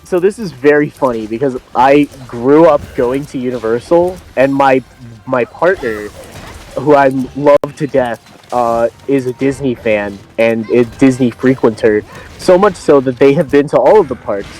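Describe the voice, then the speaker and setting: A man's voice: medium pitch, normal speed, a little mellow, low-quality recording. A young American man from Florida bantering casually with friends on a game stream, slangy and profane, repeating phrases for emphasis. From Florida, United States. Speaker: game streamer in a group call. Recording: music behind the voice. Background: music.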